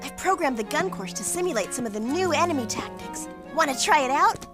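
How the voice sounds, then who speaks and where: A woman's voice: sits high, animated, brisk, music in the background. A woman voicing a flirty, sassy, doting female gunslinger in a video game, teasing with pet names. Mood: flirty, confident. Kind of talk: video game cutscene acting.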